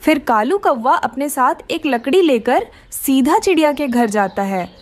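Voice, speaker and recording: A woman's voice: high-pitched, animated, measured pace. A woman narrating a scripted children's cartoon story.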